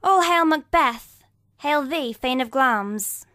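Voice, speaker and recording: A woman's voice: high-pitched, animated, takes it slowly, sharp tone, no room sound, studio quality, heavily acted. A woman playing a vengeful witch in a dramatic Shakespeare reading, chanting rhymed curses and prophecies. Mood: hailing.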